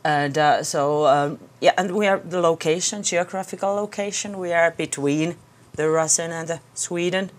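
A woman's voice: lowish voice, normal speed. A woman from Finland with a Finnish accent, a guest speaking English as a second language, searching for words in plain phrases. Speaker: interview guest.

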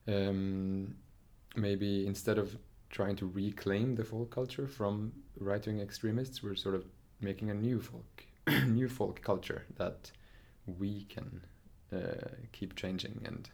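A man's voice: lowish voice, steady tone, slow pace, a little mellow. A young man from Norway, a dancer, talking through his story in a casual interview, thoughtful and hesitant with many fillers and restarts.

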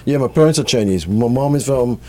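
A man's voice: medium pitch, animated, brisk, mellow, slight background noise. A middle-aged man from Trinidad with a Trinidadian accent, telling stories casually and colloquially as an interview guest. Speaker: interview guest, record shop owner. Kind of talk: casual conversational storytelling.

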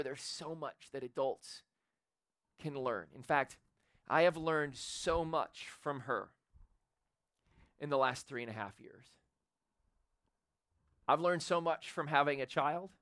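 A man in his forties preaching a sermon in a church, loud and animated, building up intensity. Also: medium pitch, no echo, studio quality. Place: church sanctuary with a congregation. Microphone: handheld or stage microphone.

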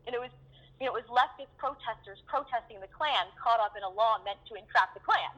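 A woman guest in a casual interview, wry and animated, laughing and swearing as she talks. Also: a little high, fast talker. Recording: dull phone line.

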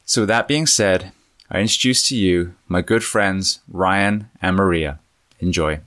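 Earnest low-voiced male podcast host. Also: a bit bright. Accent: British. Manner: earnest, measured, with fillers and restarts. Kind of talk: podcast interview. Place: home.

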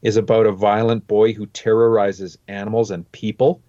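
Low-voiced man on a dull, dry mic. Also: flat delivery, normal speed, dark tone, no room sound. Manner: loose and rambling, many fillers like "like" and "you know". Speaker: podcast co-host. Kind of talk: casual podcast chat.

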